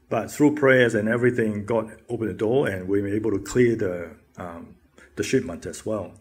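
A man presenting his mission work, speaking earnestly with many pauses and fillers. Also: lowish voice, breathy edge.

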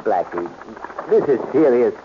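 A dull, slightly noisy vintage radio recording features an anxious gambler in trouble. His mid-pitched voice rises and falls widely, earnest and urgent in old radio-drama style. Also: sing-song, measured pace. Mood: desperate. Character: anxious, pleading man who gambled away valuables. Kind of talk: 1940s radio drama acting.

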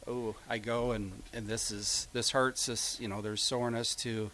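A middle-aged man talking casually and reflectively as an interview guest, halting and repeating himself as he goes. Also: medium pitch, little pitch movement, sharp tone, slight background noise.

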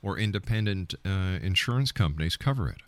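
A man's voice: low voice, animated, a little fast, no room sound. A man hosting a radio interview, asking probing questions in a direct, challenging manner.

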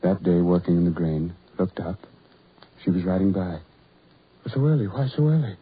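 An actor playing a lonely, self-loathing ugly farm labourer in a 1940s radio horror drama, with halting, repetitive, brooding delivery. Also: male voice, low-pitched, slightly rough. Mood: obsessive, despairing. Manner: halting, repetitive, brooding, building to anguished outbursts. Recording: muffled, tinny 1940s radio recording.

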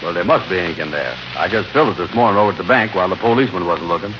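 An actor playing a gullible, slow-witted friend in a 1940s radio comedy, asking naive questions in folksy grammar. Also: male voice, low voice, animated. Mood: agreeable. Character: gullible, slow-witted male friend, easily talked round. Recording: muffled, noisy old radio broadcast recording.

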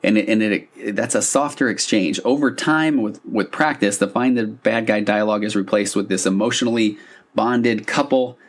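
A man's voice: fairly low pitch, normal speed. A man hosting a podcast, talking in a casual, explanatory, conversational monologue. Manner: conversational, acting out example dialogue lines. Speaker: podcast host.

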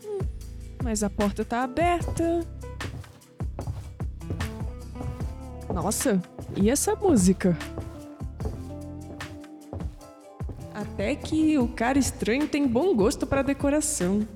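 An actress playing a young, proud, skeptical woman in an audio drama, with a Brazilian accent and an expressive delivery that turns hesitant when flustered. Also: high-pitched, moderate pace, music in the background. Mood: curious. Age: young adult.

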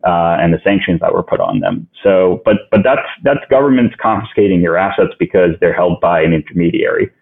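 A man from Ukraine whose first language is Russian, a podcast guest answering in a halting way that thinks aloud with many restarts, heard over a phone-line recording. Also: deep voice, gravelly. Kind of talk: casual interview answers. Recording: tinny phone-line sound.

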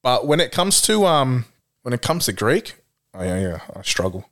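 A young Australian man talks on a podcast in a loose, easygoing way. His pitch sits in an ordinary middle range, and he rambles through his stories with plenty of fillers. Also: moderate pace. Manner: relaxed storytelling with many fillers. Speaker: podcast guest. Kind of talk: casual podcast chat.

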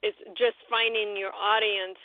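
A woman hosting an interview in casual chat, friendly, at times pausing to search for words. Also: medium pitch, level tone, no room sound. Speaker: host. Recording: dry tinny phone line.

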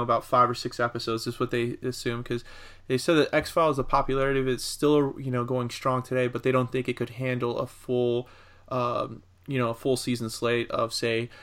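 A man co-hosting a casual entertainment news podcast, speaking in a measured, halting way with pauses between phrases. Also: mid-range voice, fairly bright tone. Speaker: podcast co-host.

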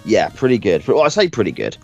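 A man hosting a podcast in casual chat, croaky from a sore throat, rambling and correcting himself as he goes. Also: on the low side, wildly swooping pitch, fast, darkish tone, dull audio, soft background music. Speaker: podcast host.

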